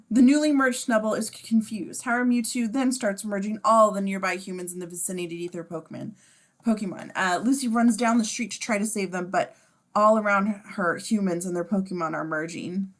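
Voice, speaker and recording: A woman with a middling pitch reads out screenplay action lines. She is casual about it and slips into sarcastic commentary on the script. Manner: sardonic, casual. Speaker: stage-direction reader. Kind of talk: amateur table read narration with sarcastic asides.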